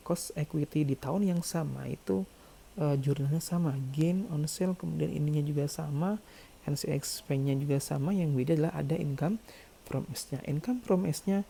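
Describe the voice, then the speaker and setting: Guy doing an accounting tutorial, really dark soft-edged voice, bit of fry, repeats stuff for emphasis, faint background noise. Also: a little high. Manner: repeats key phrases for emphasis, checks in often. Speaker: accounting lecturer. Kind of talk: explanatory tutorial lecture, working through figures step by step.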